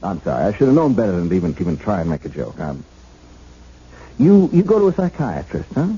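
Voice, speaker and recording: A man's voice: low-pitched, sing-song, fast talker, dark tone, husky, exaggerated voice. An actor playing an awkward, kindly, unschooled American policeman in a 1970s radio drama, stammering and folksy. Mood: kindly, flustered. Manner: stammering, hesitant, folksy, colloquial. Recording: muffled, hissy vintage radio broadcast.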